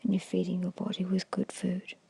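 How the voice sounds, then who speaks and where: A woman whispers a guided ASMR hypnosis meditation in a breathy, hushed voice, giving gentle, repetitive suggestions. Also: on the low side, monotone, a little fast.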